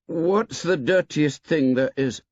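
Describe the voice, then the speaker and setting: A man's voice: fairly high pitch, takes it slowly, a little mellow, no echo, voice pushed up. A man voicing a shy, thoughtful schoolboy who speaks hesitantly, searching for words. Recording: dull lo-fi dry audiobook.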